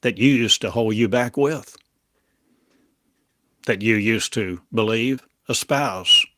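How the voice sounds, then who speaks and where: A man delivering a channeled prophetic monologue in halting, fragmented, oracular phrases full of coined words. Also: lowish voice, pushed and bright, a little hiss or hum.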